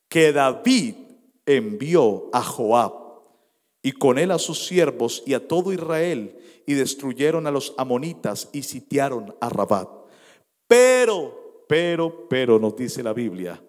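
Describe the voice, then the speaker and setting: A man in his thirties preaching a sermon as a pastor, stressing and stretching phrases, then dropping to quieter asides. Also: mid-range voice. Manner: emphatic, with drawn-out stressed phrases and sudden drops to quieter asides. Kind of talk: sermon with scripture reading and storytelling. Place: church sanctuary, at a lectern. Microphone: handheld microphone. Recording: long hall reverb.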